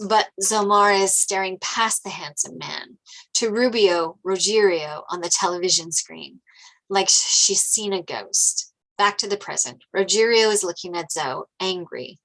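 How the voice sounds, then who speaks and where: A woman's voice: mid-range voice, unhurried, gravelly, fairly bright tone, poor audio. A woman reading screenplay stage directions as scripted narration.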